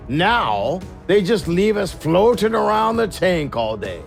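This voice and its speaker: A man's voice: high-pitched, vocal fry, full character voice. A man playing a weary, sardonic giant squid gladiator with a dry, drawling way of complaining in an audio drama.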